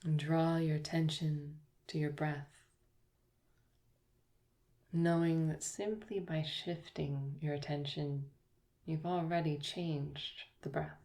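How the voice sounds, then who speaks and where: Low, mellow-voiced woman guiding relaxation. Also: fairly even intonation. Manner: slow, soft, soothing guidance with long pauses. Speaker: yoga teacher. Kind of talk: guided yoga nidra relaxation.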